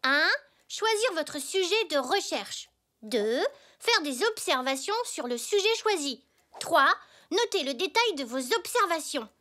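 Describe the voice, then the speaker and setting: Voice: high-pitched, very animated, unhurried, bright, forceful tone, full character voice. A performer voicing an eager, curious young zebra boy who talks earnestly in a children's cartoon. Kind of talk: children's cartoon dub acting.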